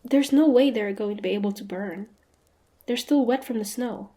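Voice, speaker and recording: A woman's voice: a little high, normal speed, warm tone, lightly acted. A woman playing a proud, suspicious young female hunter in a fantasy audio roleplay, guarded and easily flustered, with a grudging tsundere streak. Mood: wary, puzzled.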